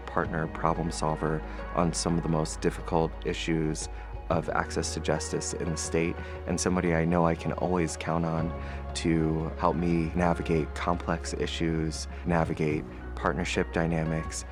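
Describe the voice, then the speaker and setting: Very deep-voiced man giving praise. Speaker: colleague giving a tribute. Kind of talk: tribute interview.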